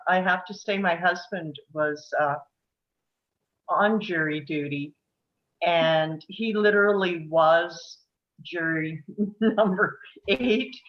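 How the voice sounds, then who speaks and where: A woman's voice: lowish voice, lo-fi sound. A middle-aged woman talking casually and earnestly in a group discussion, with emphatic phrasing. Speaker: table read participant. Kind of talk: casual post-reading discussion.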